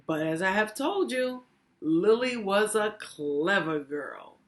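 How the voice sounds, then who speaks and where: A woman narrating a children's story as a reading teacher, deliberate and theatrical in her phrasing. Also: mid-range voice, expressive. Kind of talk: expressive children's read-aloud narration.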